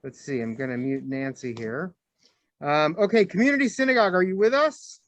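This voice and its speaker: A man's voice: fairly high pitch, fairly quick, dry recording. A man hosting as rabbi, introducing a speaker on a video call in a chatty, hesitant way with many fillers. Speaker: rabbi and host. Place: room with people behind him. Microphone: camera.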